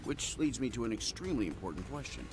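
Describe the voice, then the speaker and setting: A man's voice: mid-range voice, big pitch swings, fast talker, loud music behind the voice, natural voice. An actor playing a cocky, witty male genius inventor who makes wry, joking quips.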